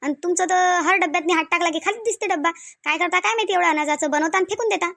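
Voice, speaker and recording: A very high-pitched, domineering village mother-in-law , played by a woman in a Marathi cartoon comedy. She nags quickly in a Varhadi accent, firing sarcastic rhetorical questions and counting every penny. The sound is dry and close. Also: gravelly, fairly bright tone, no echo, heavily acted. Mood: scolding. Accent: Varhadi Marathi from Vidarbha. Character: domineering, miserly, scolding rural mother-in-law.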